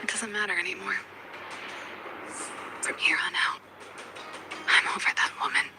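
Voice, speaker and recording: A woman playing a cool, candid, world-weary fighter in a video game, with a breathy voice. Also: medium pitch, steady tone, normal speed, in a whisper, lightly acted. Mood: weary, resolved.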